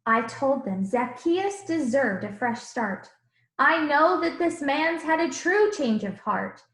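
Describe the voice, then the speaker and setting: Woman reading as gentle, high-voiced storybook Jesus. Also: expressive, slow, exaggerated voice. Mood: gentle, earnest. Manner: rhythmic rhyming storybook delivery. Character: gentle, earnest Jesus narrating his own storybook tale. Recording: roomy, echoing room sound.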